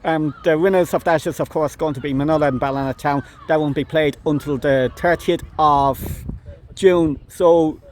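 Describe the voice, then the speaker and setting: High-voiced Irish club interviewer. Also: male voice, fairly even intonation, moderate pace, darkish tone, slight background noise. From Ireland. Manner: hesitant, many "um"s. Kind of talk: post-match interview questions.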